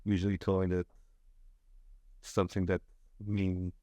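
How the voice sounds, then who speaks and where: Low mellow man, soft and hesitant. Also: level tone, unhurried, no echo. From Israel. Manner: soft-spoken, hesitant, many fillers. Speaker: podcast guest. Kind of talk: casual chat.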